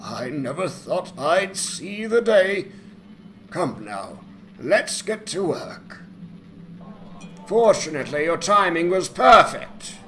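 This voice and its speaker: A man playing a hearty, excitable dwarf smith in a fantasy game dramatization, exclamatory and gleeful. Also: high-pitched, takes it slowly, faint music behind, exaggerated voice. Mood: excited, jolly.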